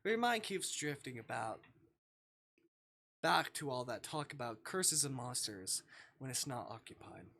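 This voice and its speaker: A livestreamer reading a visual novel aloud and commenting on it, expressive and sweary, with dramatic delivery. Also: medium pitch, unhurried, pauses often, slight background noise. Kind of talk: livestream gameplay commentary mixed with read-aloud narration. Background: music.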